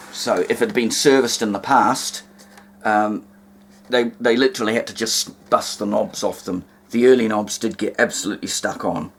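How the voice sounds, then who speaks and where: A man with a New Zealand accent giving a casual, unscripted hands-on demonstration at home, hesitant, folksy and wry. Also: medium pitch. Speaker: hobbyist presenter demonstrating vintage radios. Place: indoors in a cluttered home room.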